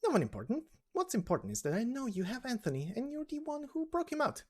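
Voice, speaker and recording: A man's voice: very high-pitched, huge pitch swings, fast talker, voice pushed up, heavily acted. A man voicing a mysterious, composed informant in a game story, confident and coaxing. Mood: assured.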